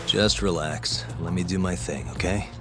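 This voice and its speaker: Game casting: street-smart private detective, low register, composed and sure of himself, music underneath. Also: male voice, normal speed. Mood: calm, assured. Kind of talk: English video game dub dialogue. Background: background music.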